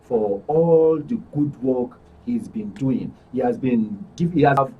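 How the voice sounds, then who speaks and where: Nigerian man, animated pitch, dull distant recording. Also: a little slow, slightly rough. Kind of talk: earnest, formal panel talk. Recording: dull, distant mic with background noise.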